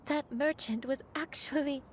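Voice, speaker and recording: A wistful, remorseful grandmother, high-voiced. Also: fairly even intonation, a little hiss or hum. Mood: shaken realization. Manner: earnest, measured storytelling. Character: gentle elderly grandmother, once a crane, remorseful and wistful. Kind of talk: video game voice acting.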